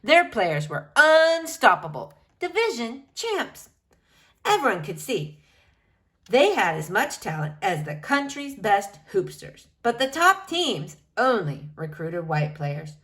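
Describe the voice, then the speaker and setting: A woman reads a picture book aloud in a bright, fairly high voice. Her delivery is unhurried and theatrical, leaning hard on important words and drawing them out for young listeners. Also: sing-song. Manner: emphatic, dramatic phrasing with drawn-out key words. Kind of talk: expressive children's picture book read-aloud.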